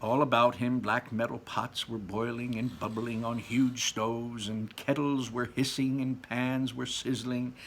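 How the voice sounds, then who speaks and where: A man's voice: mid-range voice, little pitch movement, a little slow, hardly any pauses, slight background noise. A man reading a children's book aloud as a storyteller, with dramatic emphasis on descriptive words and casual asides.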